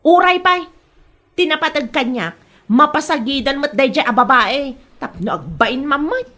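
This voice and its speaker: A woman's voice: high-pitched, lively intonation, moderate pace, sharp tone. A woman playing a feisty, spiteful grown daughter who defiantly feuds with a neighbour in a comedy audio drama.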